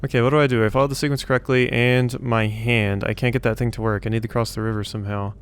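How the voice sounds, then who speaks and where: A man's voice: mid-range voice, flat delivery, quick pace, a little hiss or hum. A man doing casual gameplay commentary, muttering to himself as he thinks aloud in a self-deprecating way. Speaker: let's play host.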